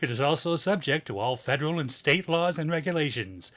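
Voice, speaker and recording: Man doing vintage 1940s radio announcer, forceful bright tone, higher-pitched, polished, hearty. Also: lively intonation, a little fast. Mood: formal.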